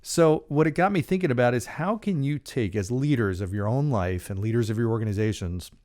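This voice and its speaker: A man in his forties hosting a solo leadership podcast in a small treated studio, talking casually with jokes and lively gestures. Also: medium pitch, brisk. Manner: casual, joking, animated with hand gestures. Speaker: podcast host. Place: small studio with dark acoustic panels. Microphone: large studio condenser mic in front.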